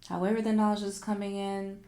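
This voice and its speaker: A woman's voice: medium pitch, a little fast. Chatty, exclamatory woman tarot livestreamer. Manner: animated, informal, exclamatory, repeats phrases for emphasis. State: voice getting raspy. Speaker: tarot reader and livestream host. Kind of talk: casual spiritual livestream chat, thinking aloud.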